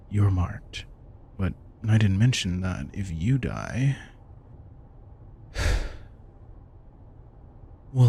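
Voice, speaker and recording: A man playing a protective, wry and tender vampire king in an intimate audio roleplay, soft-spoken and casual. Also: lowish voice, creaky, a little hiss or hum, full character voice. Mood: serious, vulnerable. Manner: soft-spoken, casual, reassuring, occasionally swearing. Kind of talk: intimate audio roleplay, one-sided dialogue.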